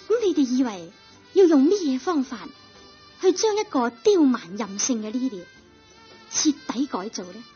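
A woman's voice: sits high, darkish tone. A woman with a Cantonese accent reading scripted radio drama narration in an earnest, moralizing way, on a muffled old recording. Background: music.

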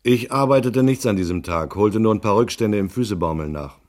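Low-voiced, laconic hard-boiled detective played by a man. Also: a little fast, a little mellow, lightly acted. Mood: wry, matter-of-fact. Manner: laconic, dry, sardonic. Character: wry, skeptical male private detective telling his case. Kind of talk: radio drama narration and dialogue, hard-boiled crime.

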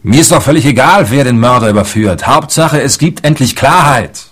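A man playing a hard-nosed, impatient businessman in a radio crime play, blunt and self-assured, with a husky voice. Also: medium pitch, lively intonation, moderate pace, fairly bright tone. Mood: brusque. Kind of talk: radio crime drama dialogue.

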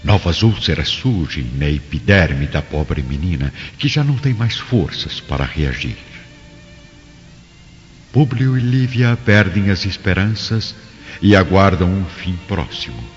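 A man's voice: low voice, lively intonation, unhurried. A man narrating a scripted radio drama in a solemn, theatrical manner. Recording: dull, reverberant recording with music.

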